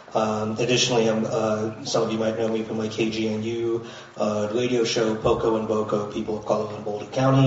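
A man introduces himself on a panel in a low, even voice that barely rises or falls, stumbling through many uhs and restarts. He is far from the microphone, and his voice is dulled and washed in heavy room echo. A faint noise sits underneath. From United States. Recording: distant, dull, very echoey room.

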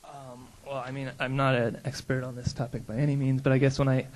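A man answering on a panel in a measured, hedging way. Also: medium pitch, little pitch movement, slight background noise.

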